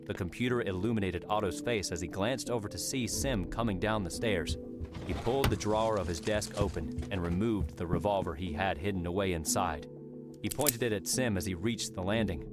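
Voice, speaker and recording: A man narrating an audiobook in a steady, measured storytelling voice. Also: low voice. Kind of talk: scripted narration. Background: music.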